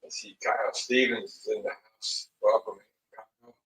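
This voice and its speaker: A man with a slightly raspy voice hosting a casual livestream in a halting, unhurried manner. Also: on the low side, steady tone, fairly bright tone. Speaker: livestream host. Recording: muffled lo-fi audio, distant mic.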